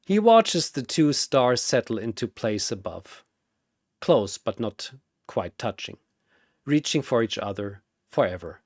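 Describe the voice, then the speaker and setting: A man's voice: medium pitch, sing-song, measured pace, dry recording, studio quality. Dramatic male narrator with sweeping intonation. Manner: theatrical, short suspenseful phrases. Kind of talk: dramatic scripted story narration.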